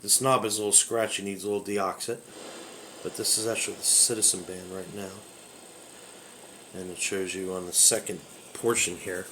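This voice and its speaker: A man with a somewhat low voice talks loosely and easygoingly, thinking aloud as he handles a device at home. A handheld camera catches him close up. A steady hiss of background noise runs under his voice. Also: normal speed. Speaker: radio collector demonstrating a radio. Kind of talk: casual product demo. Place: home room with a window. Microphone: handheld camera close to the radio.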